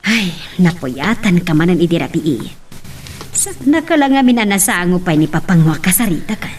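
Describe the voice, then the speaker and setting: A woman's voice: on the low side, big pitch swings, normal speed, darkish tone. A woman with an Ilocano Filipino accent acting a nagging, worried middle-aged mother in an audio drama. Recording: slightly distant, faintly noisy.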